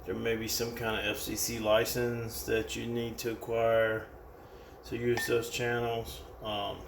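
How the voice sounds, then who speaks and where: A man's voice: mid-range voice, completely flat delivery, measured pace, vocal fry. An American man giving a casual hands-on product demonstration in a plain-spoken, loosely improvised way. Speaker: product reviewer. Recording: roomy, distant mic with background noise.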